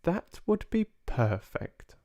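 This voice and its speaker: A man voicing a gentle, wise old grandmother, calm and loving, with tender, deliberate speech, in a storybook reading. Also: fairly high pitch, pitched above the performer's own voice, exaggerated voice.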